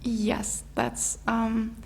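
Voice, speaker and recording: A young woman from Russia, a guest in a casual interview, talking haltingly as she searches for words in English. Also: fairly high pitch, monotone, darkish tone, slight background noise.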